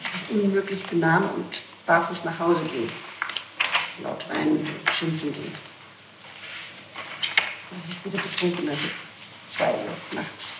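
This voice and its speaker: A woman answering questions in an interrogation, explaining herself in halting detail, on an old 1960s tape. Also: on the low side, steady tone, echoey room, very noisy. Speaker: person being questioned in a criminal case.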